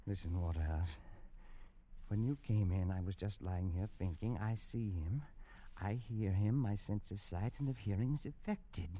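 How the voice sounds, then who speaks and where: A man's voice: lowish voice, normal speed, muffled recording, close to an everyday voice. An actor playing a feverish man tormented by a vision, anxious and breaking into frantic cries, in 1940s radio drama style. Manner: anxious, swinging into frantic shouting. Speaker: lead character.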